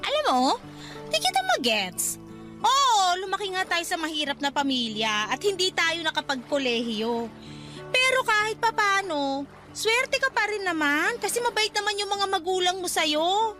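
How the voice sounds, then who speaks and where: A woman's voice: very high-pitched, sing-song, normal speed, pushed and bright, faint music behind, full character voice. An actress playing a practical, exasperated young Filipino woman scolding her cousin in a radio drama, with a colloquial Filipino accent. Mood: reproachful.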